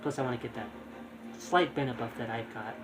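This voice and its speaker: Male game streamer, mid-pitched, casual and muttering running commentary, with loud game music underneath. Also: fairly quick. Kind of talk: casual live gameplay commentary. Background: loud video game music.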